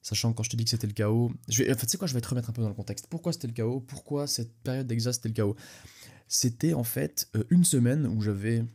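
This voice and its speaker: A man's voice: mid-range voice, fast talker, darkish tone, slightly rough. A young man with a cold, sniffling as he hosts a casual solo podcast, rambling with many restarts and speaking straight to the listener. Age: young adult. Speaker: podcast host.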